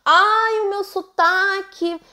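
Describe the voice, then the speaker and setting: A woman's voice: very high-pitched, fairly even intonation, slow pace, pushed and bright, pitched above the performer's own voice, heavily acted. A Brazilian Portuguese woman putting on an anxious young female student who whines about her accent and mistakes. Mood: fretful. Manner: whiny and fretful.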